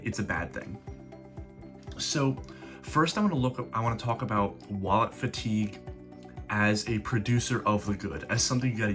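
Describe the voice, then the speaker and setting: Man with a cold, over guitar music. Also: on the low side. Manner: rambling, restarts often. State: coming down with a cold. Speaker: host of a card collecting channel. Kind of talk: casual explainer monologue. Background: background guitar music.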